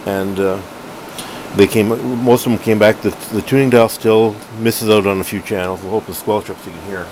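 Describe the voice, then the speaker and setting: A middle-aged man with a fairly low voice gives a casual hobby demo. He talks in a halting, rambling way, often correcting himself. A clear background hum runs under his voice in a room at home. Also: steady tone. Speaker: hobbyist collector reviewing a radio. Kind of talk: casual unscripted product demo.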